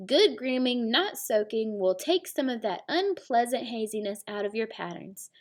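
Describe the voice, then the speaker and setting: A woman's voice: fairly high pitch, expressive, normal speed, close to an everyday voice. A woman voicing a haughty, fussy zebra with pompous emphasis in a children's storybook. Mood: haughty, scolding.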